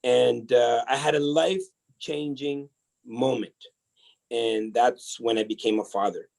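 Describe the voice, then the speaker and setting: A man from El Salvador, a podcast guest telling his life story in a casual, energetic way, often restarting his sentences. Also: mid-range voice, unhurried, vocal fry. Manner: energetic, chatty, many restarts.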